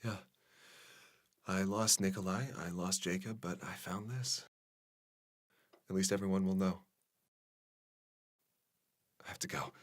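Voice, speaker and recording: An actor playing a subdued, regretful American journalist in a radio drama. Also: male voice, low voice, no room sound, clean studio sound. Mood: downcast, hesitant. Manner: halting, awkward in conversation, measured when narrating. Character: subdued, regretful male American journalist filing his last report. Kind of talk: radio drama narration and dialogue.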